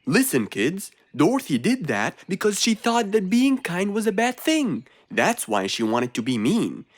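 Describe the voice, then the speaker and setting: Casting: patient teacher-fox for a kids' bedtime cartoon, fairly low and animated, music underneath. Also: female voice, a little slow. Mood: gently instructive. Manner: patient, instructive. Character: patient, wise storyteller fox, Mister Fox, teaching children. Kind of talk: children's cartoon storytelling.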